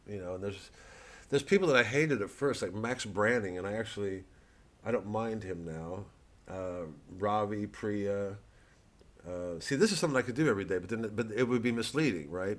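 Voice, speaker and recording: A low-pitched male comedian talks casually to his online audience. He rambles and digresses, often halting to find his thread, with a self-deprecating manner. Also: a bit bright.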